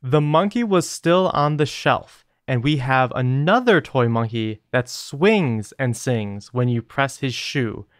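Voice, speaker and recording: A man's voice: medium pitch, expressive, takes it slowly, hardly any pauses, dry recording, clean studio sound. A man co-hosting a podcast, reading listener emails aloud and chatting casually. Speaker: podcast co-host.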